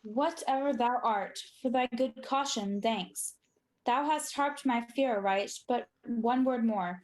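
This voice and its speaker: A woman's voice: on the high side, unhurried, lightly acted, low-quality recording. A woman playing a proud, demanding tyrant king, declaiming Shakespeare in a radio play.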